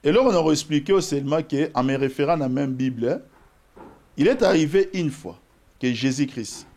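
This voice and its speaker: Animated Congolese man arguing politics. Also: a little high, normal speed. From DR Congo, Bas-Congo. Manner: animated, argumentative, cites scripture. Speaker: political guest. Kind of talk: televised political debate.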